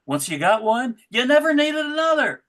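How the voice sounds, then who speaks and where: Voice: sits high, big pitch swings, normal speed, fairly bright tone. An actor playing a pompous, slippery devil in a live comedy table read, given to indignation and bellowing. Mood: smug.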